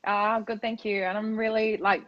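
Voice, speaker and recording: A woman hosting a live interview, chatty and upbeat with a slightly croaky voice and many fillers. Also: mid-range voice, little pitch movement, moderate pace, muffled recording, crowd noise. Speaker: host, business mentor for health coaches. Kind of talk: live-stream interview chat.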